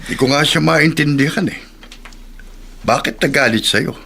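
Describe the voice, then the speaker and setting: An actor playing a friendly, encouraging household coworker with an informal, coaxing manner, in a Tagalog radio drama. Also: male voice, a little high, normal speed, creaky, bright, forceful tone, husky, full character voice.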